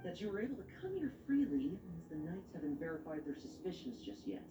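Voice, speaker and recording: A woman's voice: lowish voice, very animated, fast. A woman voicing an intense, impatient conspirator in a dramatic video game scene. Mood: grim resolve. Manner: forceful, impatient, insistent. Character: intense, driven conspirator urging on a risky experiment. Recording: roomy, distant, noisy game capture.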